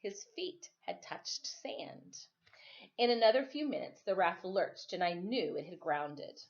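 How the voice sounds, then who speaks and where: A woman reading a story aloud for children as a storytime teacher, expressive and informal. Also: on the low side, unhurried, dull audio.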